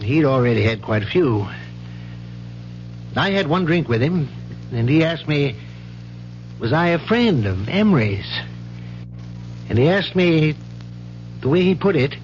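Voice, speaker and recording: An actor playing a proper, measured university professor in a 1970s radio mystery, speaking precisely and with care. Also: male voice, on the high side, big pitch swings, faint music behind. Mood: earnest, concerned. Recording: muffled, noisy 1970s radio recording with hum.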